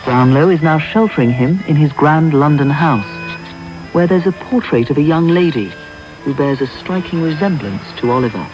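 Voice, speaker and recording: Narrator, male: scripted story recap on lo-fi radio with clear music underneath. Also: fairly high pitch, animated, normal speed. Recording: dull lo-fi radio taping.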